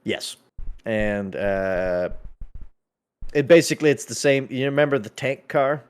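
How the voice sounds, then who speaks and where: A man's voice: medium pitch, animated, a little slow, smooth. A man chatting casually during a game, explaining things emphatically and repeating himself for clarity. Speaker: roleplay server police lead. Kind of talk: casual chat while gaming.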